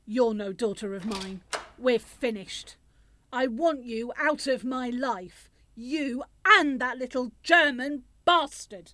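A woman's voice: on the high side, takes it slowly, background noise. A woman playing a bitter, harsh older widowed mother in a radio play. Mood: venomous.

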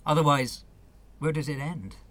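Man acting earnest, bright-voiced New man. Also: fairly high pitch, moderate pace, lightly acted. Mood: weary, reflective. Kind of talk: radio play acting.

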